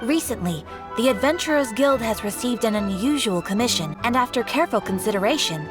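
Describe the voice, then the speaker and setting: Woman playing a polite game receptionist lady, slightly high voice, very formal and measured. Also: expressive, over music, natural voice. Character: polite adult female guild receptionist. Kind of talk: game voice acting.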